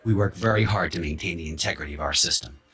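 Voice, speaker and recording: An actor playing a guarded, evasive company employee in a video game. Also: male voice, rumbling low, animated, fast, fairly bright tone, slightly rough, soft background music, heavily acted. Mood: defensive. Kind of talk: video game dialogue acting.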